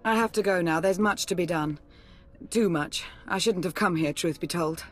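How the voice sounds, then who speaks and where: Brisk female intelligence officer, played by an actress, cool and dryly impatient, game dialogue over faint music. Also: lowish voice, lightly acted. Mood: regretful. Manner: dry, businesslike. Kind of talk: video game cutscene acting.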